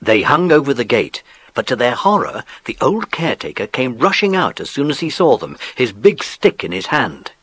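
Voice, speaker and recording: A storyteller for a children's adventure, with a husky edge and lively intonation. The delivery is measured and scripted, recorded close and dry. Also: male voice, medium pitch, no room sound. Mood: measured storytelling.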